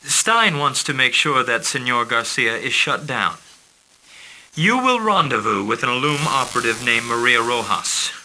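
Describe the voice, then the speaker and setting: A crisp formal intelligence handler. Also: male voice, mid-range voice, very animated, moderate pace, sharp tone, slight background noise, heavily acted, rough recording. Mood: briefing. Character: formal male intelligence handler, calm and businesslike. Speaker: mission briefing officer. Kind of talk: scripted game briefing.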